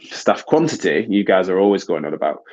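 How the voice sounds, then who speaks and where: A man's voice: low-pitched, big pitch swings, fairly quick, darkish tone. A man hosting a podcast, a physiotherapist talking casually in a nasal, stuffed-up voice, rambling with frequent restarts. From United Kingdom. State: congested, stuffy nose. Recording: dull lo-fi recording.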